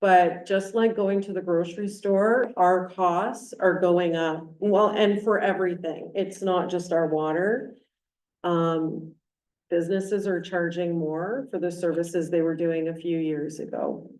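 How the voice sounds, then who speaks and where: A woman's voice: fairly low pitch, fairly even intonation, unhurried, a little mellow. A woman chairing a council meeting as mayor, speaking plainly and explaining with everyday examples. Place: council chamber. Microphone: distant room mic. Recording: echoey council chamber from far off.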